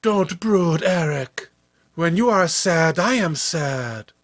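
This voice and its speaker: A man's voice: on the high side, animated, slow, pitched above the performer's own voice. A man voicing an enchanted statue woman, tender and devoted, in a soothing, compliant manner for a horror comic.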